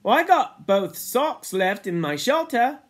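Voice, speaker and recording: Guy doing a nervous young twin kid, high squeaky-ish pitch, worried schoolboy slang, storybook. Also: sing-song, measured pace, exaggerated voice. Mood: protesting. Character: timid, worried young twin boys who protest.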